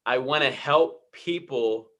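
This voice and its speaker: A man hosting an interview, responding in a warm, affirming, reflective way and often stumbling over repeated words. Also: mid-range voice, slow. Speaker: interview host. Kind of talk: conversational interview.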